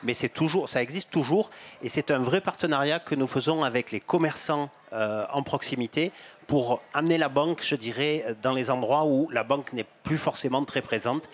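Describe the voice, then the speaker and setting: A man in his fifties, a bank executive, giving measured explanatory answers in an interview at a fair stand, with some hesitations and repeated words. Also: mid-range voice. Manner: gestures broadly while talking. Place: outdoor stand at an agricultural fair, on a sofa. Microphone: handheld microphone.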